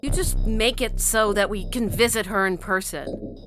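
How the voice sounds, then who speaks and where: A woman's voice: medium pitch, normal speed, natural voice. An actress playing a condescending, insistent wife and controlling mother in an audio story. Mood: condescending, stern. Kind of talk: dramatic reading of audio fiction. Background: music.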